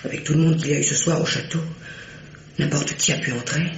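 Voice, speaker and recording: A man's voice: fairly high pitch, fairly even intonation, a little fast, gravelly. A man playing a deferential, uneasy château servant in a radio play, respectful and earnest. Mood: worried, insistent. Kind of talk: French radio play acting. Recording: muffled, echoey, noisy old radio recording.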